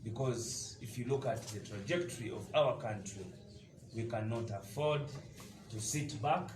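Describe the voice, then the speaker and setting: A man's voice: medium pitch, measured pace. Man, Ugandan accent, combative press statement, echoey room, far from the mic. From eastern Uganda. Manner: emphatic, combative speechmaking with frequent hesitations. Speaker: party deputy spokesperson. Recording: echoey room picked up from afar, noisy.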